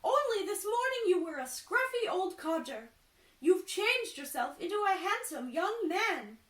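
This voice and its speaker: A woman voicing an excitable, awestruck merchant's wife in a storybook, loud and gushing. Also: very high-pitched, measured pace, voice pushed up, exaggerated voice. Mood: astonished, gushing. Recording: roomy echo, distant microphone.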